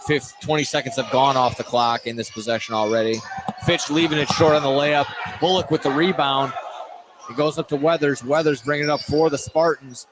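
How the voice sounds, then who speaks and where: A man's voice: a little high, fairly even intonation, brisk. Male basketball play-by-play announcer in a noisy gym. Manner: narrates each pass as it happens, repeating words. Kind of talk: live basketball radio commentary. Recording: noisy gym, clear ambient din. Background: gym noise, bouncing basketballs.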